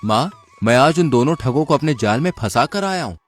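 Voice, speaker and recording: A man's voice: medium pitch, animated, fairly quick, natural voice. A man voicing a cunning, confident young village man in a cartoon moral story, speaking persuasively.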